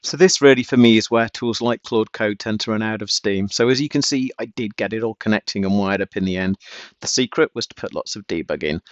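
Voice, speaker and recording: A man's voice: fairly low pitch, a little fast. A man narrating a casual explanatory vlog, recorded with a dull, roomy sound. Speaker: vlogger, amateur coder.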